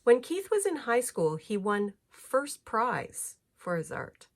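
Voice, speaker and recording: A woman reading a children's picture book aloud in an expressive storytelling manner, phrasing clearly for young listeners. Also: fairly high pitch, slow pace.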